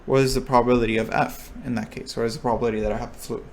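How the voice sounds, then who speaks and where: A man's voice: mid-range voice, little pitch movement, very fast, a little hiss or hum. A young man explaining a probability lesson, thinking aloud with restarts and checking in with his listeners. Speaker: recitation instructor. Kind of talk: explaining a lecture topic.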